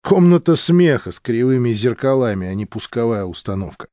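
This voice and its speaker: Guy reading an audiobook, measured and precise, very varied intonation, dry close sound. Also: mid-range voice, very animated, mellow, no echo. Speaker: audiobook narrator. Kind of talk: scripted audiobook narration.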